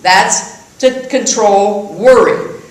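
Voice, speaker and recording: Slow, halting woman in a cavernous hall. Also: mid-range voice. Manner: halting, self-correcting. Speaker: congregant. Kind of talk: explaining a technique. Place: church sanctuary. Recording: very echoey hall, voice from far off.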